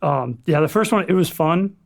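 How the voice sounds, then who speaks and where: A young man with a somewhat high, gravelly voice talks as a podcast guest. He rambles in a loose, relaxed way and swears offhandedly. He works as a firefighter paramedic and grew up in Florida. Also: normal speed. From Florida, USA. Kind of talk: casual chat.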